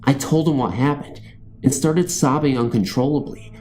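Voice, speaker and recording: Guy narrating scary bedtime stories, soft dark mellow voice, faint music behind him. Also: medium pitch, moderate pace. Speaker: narrator of true ghost stories. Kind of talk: scripted first-person horror story narration.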